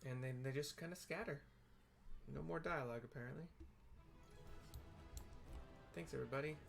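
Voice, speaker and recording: A man's voice: mid-range voice, normal speed, vocal fry. Man casually commentating gameplay over music. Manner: informal, thinking aloud, hesitant. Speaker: gamer narrating own playthrough.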